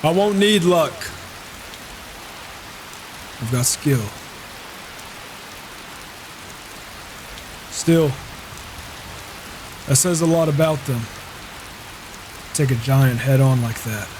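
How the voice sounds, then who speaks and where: A man roleplaying a reclusive, self-assured mercenary warrior in a video game, talking in a measured, musing way. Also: medium pitch, natural voice. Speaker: roleplaying player. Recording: hissy microphone with rain and thunder. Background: rain and thunder.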